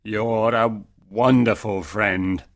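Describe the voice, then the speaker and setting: A man playing an ugly but kind, sorrowful Beast in a simple fairytale, speaking earnestly and deliberately. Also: lowish voice, steady tone. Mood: pleading, lovelorn. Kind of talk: fairytale storybook dialogue for English learners.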